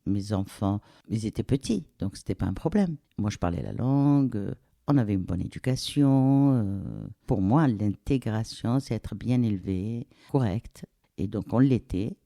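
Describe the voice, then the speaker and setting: A middle-aged woman from Algeria, speaking reflectively and pausing to search for words in a casual interview. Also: extremely low pitch, expressive, dark tone, dry recording, studio quality. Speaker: interviewee, the host's mother.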